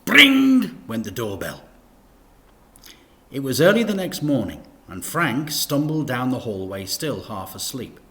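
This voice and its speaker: Animated, bright-voiced man reading to children. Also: mid-range voice. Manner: animated, deliberate, with dramatic emphasis. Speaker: teacher reading a story aloud to children. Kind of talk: expressive children's storytelling.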